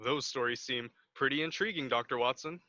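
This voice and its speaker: A man's voice: medium pitch, fairly quick, sharp tone, no room sound, lightly acted. A man playing a courteous, inquisitive announcer in a 1940s-style radio play. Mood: curious. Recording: dull, dry lo-fi audio.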